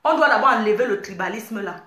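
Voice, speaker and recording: A woman's voice: mid-range voice, fast, bright, forceful tone, roomy sound. A woman, talking passionately and repetitively to her online followers in a casual livestream. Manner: impassioned, repetitive, preachy. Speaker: online community host.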